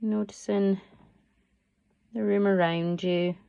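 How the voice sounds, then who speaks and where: A woman guiding a relaxation meditation as a yoga teacher, soothing and unhurried, offering gentle, permissive instructions. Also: mid-range voice, steady tone, gravelly, clean tone, no room sound, lo-fi sound.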